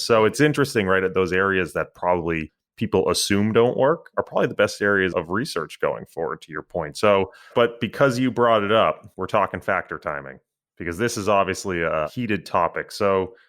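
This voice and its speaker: A man's voice: sits low, fairly bright tone. A man hosting a finance podcast, chatting casually and self-deprecatingly with his guest. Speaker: podcast host. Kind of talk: casual interview chat.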